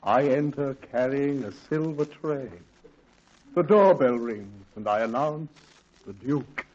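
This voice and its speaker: An actor playing a pompous, theatrical old stage actor who offers to work as a butler, speaking in a grand, stately way. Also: male voice, medium pitch, takes it slowly, darkish tone. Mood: grandiose. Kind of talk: 1940s radio acting. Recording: dull old radio broadcast.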